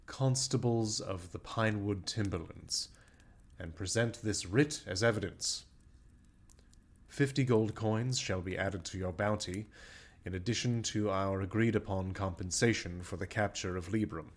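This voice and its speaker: Man as stately fantasy baron, low voice, formal, grandiose, benevolent, audio drama.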